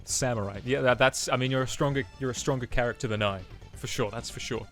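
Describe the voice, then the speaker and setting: A man chatting as a guest on a stream, talking in a monotone, reflective way. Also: medium pitch, quick pace, over music. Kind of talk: casual online chat.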